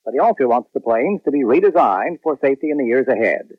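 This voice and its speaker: An American man anchors a radio newscast at a quick clip, reading from a script. The sound is close and dry, slightly dulled like an old broadcast. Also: medium pitch, lively intonation, dry recording, phone-quality sound. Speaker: network radio news anchor.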